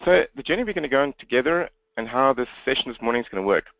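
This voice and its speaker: A man with a South African accent presenting an interactive webinar, talking fast in a direct way and engaging listeners with questions. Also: medium pitch, animated, a bit bright, poor audio. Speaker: webinar presenter and coach for accountants. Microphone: computer webinar microphone, presenter standing.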